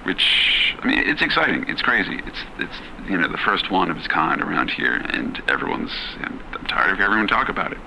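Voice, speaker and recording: An actor playing a jaded, awkward working man who haltingly records a personal audio journal in a horror game. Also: sits low, very animated, creaky, bright, forceful tone, slight background noise, full character voice, poor audio. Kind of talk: improvised-sounding audio diary in horror game.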